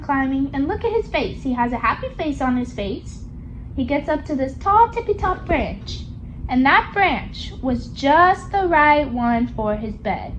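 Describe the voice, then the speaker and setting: A woman's voice: high-pitched, highs cut off, slight background noise. A woman reading a picture book aloud to a young child, coaxing along with questions in a sing-song, child-directed way. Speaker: storybook reader for a young child.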